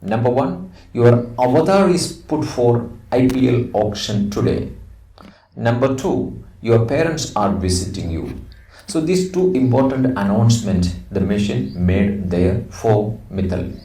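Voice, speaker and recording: A middle-aged man with an Indian accent teaches an English lesson, reading a script aloud and explaining it in a deliberate, didactic way with emphatic phrasing. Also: medium pitch, lively intonation, dark tone. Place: indoor room. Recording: roomy echo, distant microphone.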